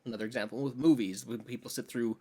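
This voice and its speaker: A man's voice: medium pitch, fairly even intonation, quick pace, mellow. A man co-hosting a podcast, chatting casually and giving his opinions in a conversational way. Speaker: podcast co-host.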